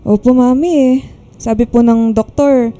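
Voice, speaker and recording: A woman's voice: fairly high pitch, steady tone, moderate pace, warm tone, close to an everyday voice. An actress playing a burned-out woman in her twenties, polite but feisty when provoked, in a Filipino radio drama. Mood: polite, weary.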